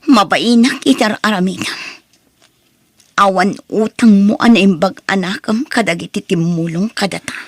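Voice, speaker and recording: A woman's voice: mid-range voice, moderate pace, no room sound, close to an everyday voice. A woman playing an anguished, pleading wife in an emotional family radio drama. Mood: bitter, ashamed. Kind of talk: Ilocano radio drama acting.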